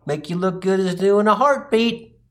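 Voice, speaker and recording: Guy doing a sweet folksy minivan mom from a comic story, higher pitch, soothing, calls everyone pet names. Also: normal speed, close to an everyday voice. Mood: kindly, reassuring. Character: kindly, folksy minivan mom, doting and unflappable.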